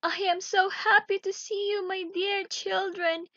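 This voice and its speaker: A woman narrator acts out a woodcutter's wife from a children's tale, a loving and emotional mother. Her voice is lifted very high and carries strong feeling. The delivery is unhurried and the sound is dry. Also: little pitch movement, a bit bright, no room sound, muffled recording, heavily acted. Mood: joyful, fussing. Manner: wailing, emotional.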